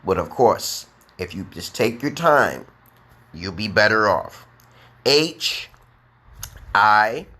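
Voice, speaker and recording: A man with a New York accent teaching beginner English on a live stream, speaking slowly and emphatically and checking in with "okay?". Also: medium pitch, bright, forceful tone. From United States. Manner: drawn-out, emphatic, repeats letters and "okay?". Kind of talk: slow deliberate live-stream lesson.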